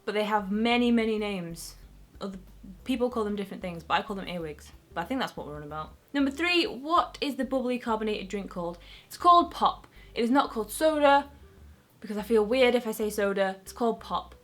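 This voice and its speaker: A woman's voice: mid-range voice, animated, brisk. A young woman with a Northern English accent, chatting casually to camera as a beauty vlogger in a rambling, self-mocking way.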